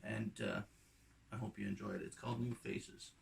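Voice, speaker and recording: A middle-aged man with a slightly croaky voice, a musician talking casually and reflectively between songs in an unhurried, halting way that trails off softly. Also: on the low side, fairly even intonation, distant mic, a little hiss or hum. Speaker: acoustic folk and bluegrass musician.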